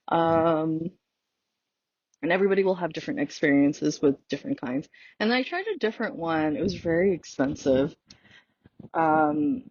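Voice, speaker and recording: A woman whose first language is Tagalog hosts her own podcast while out on a walk, and she talks in a loose, rambling way full of fillers. Her voice is husky and unhurried. The recording sounds dull and lo-fi. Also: sits low, a little mellow, no echo. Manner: rambling, chatty, many fillers and restarts. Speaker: podcast host. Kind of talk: casual solo podcast talk. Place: outdoors on a walk.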